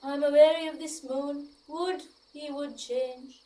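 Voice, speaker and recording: Woman as high-voiced, disdainful noblewoman. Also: steady tone, unhurried, warm tone, recorded from a distance, poor audio. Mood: bored. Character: disdainful noblewoman watching a silly play. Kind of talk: Shakespearean stage acting.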